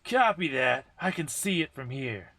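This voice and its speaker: A man's voice: medium pitch, expressive, unhurried, bright, forceful tone, voice pushed up, full character voice. A man voicing a cool, confident female fighter from a game story, with a nonchalant, cocky manner. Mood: cool, reluctant.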